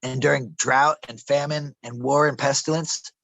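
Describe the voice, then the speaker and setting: A man's voice: medium pitch, steady tone, normal speed, creaky, a bit bright, poor audio. A man telling rambling, conspiratorial stories in a casual interview, calling the listener bro and man and stumbling over some words. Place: outdoors in a desert camp.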